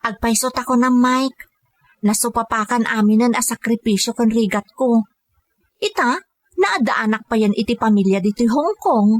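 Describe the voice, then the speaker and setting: Babai nga agak-akto a naimbag a balasang nga Ilokana, nangato ti timekna. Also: female voice, a little high, normal speed, no echo, natural voice. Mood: earnest, weary. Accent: Ilocano. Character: devoted, grateful adult daughter working abroad as caregiver. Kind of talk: Ilocano radio drama acting.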